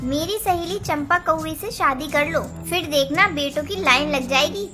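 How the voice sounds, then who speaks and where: A woman puts on a sly, spiteful parrot wife in a kids' cartoon, talking in a taunting, scheming way. Her voice stays high and a little hushed, with music clearly behind. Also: moderate pace, natural voice. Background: background music.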